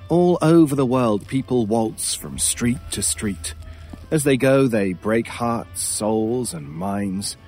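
An actor playing a world-weary, haunted British stranger in an audio horror drama, speaking in a wry, brooding way with dramatic pauses. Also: male voice, medium pitch, animated, darkish tone, faint music behind. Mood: grave, brooding.

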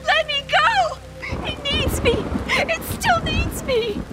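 Horror audio drama: enthralled, hysterical woman, very high desperate screams, slow drawn-out cries. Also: expressive, heavy background noise, exaggerated voice. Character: hysterical young woman in thrall to something evil. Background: loud music and rain.

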